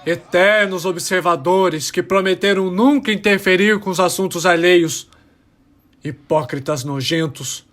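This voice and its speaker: An actor playing an embittered alien rebel miner, testifying with impassioned, dramatic pauses in an audio drama. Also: male voice, high-pitched, a bit bright. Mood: bitter, scornful. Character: embittered male alien rebel miner testifying about his oppressed people.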